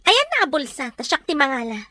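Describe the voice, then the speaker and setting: A woman's voice: high voice, very animated, a little fast, sharp tone, no echo, heavily acted. A woman playing a harsh, domineering madam in an Ilocano audio drama, commanding and scornful. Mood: suspicious, taunting. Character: harsh, domineering madam interrogating and threatening her captive.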